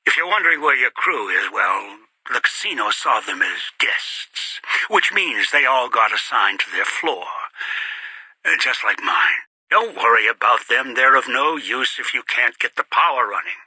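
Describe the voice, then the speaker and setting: Casting: a greedy, scheming elderly game villain, hard-edged and very tense delivery, rasping breath, muttered contempt and curt orders. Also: male voice, medium pitch, expressive, measured pace, bright, forceful tone, no echo, exaggerated voice, poor audio. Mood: cold, calculating. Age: older. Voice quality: raspy, breathy. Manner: contemptuous, commanding, muttering to himself. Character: bitter, greedy, scheming old man commanding captives. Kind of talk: video game character voice acting.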